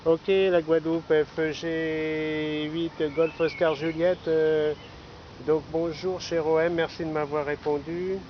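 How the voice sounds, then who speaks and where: Man, amateur radio operator, slightly high voice, phonetic call signs, dull lo-fi sound, outdoor noise. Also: level tone, takes it slowly. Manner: repeats call signs and phrases, spelling them out phonetically. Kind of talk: ham radio call and chat. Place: outdoors in a wooded park. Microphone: camera mic while operating a radio.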